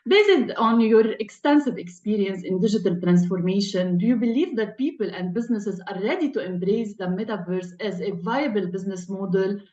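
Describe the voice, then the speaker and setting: A woman's voice: medium pitch, expressive, moderate pace, hardly any pauses, darkish tone, echoey room, dull audio. A woman hosting an interview in a formal, scripted presenter style. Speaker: host.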